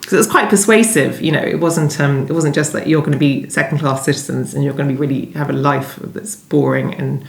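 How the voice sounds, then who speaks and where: A woman novelist being interviewed, talking conversationally in a thoughtful, hesitant and halting way. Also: deep voice, gravelly. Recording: echoey room sound.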